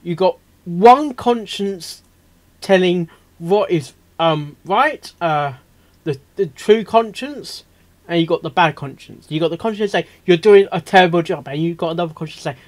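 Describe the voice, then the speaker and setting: Excitable, high-voiced man reacting off the cuff. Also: moderate pace. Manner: excitable, rambling, stammering and repeating himself. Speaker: reaction video host. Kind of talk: casual off-the-cuff reaction commentary.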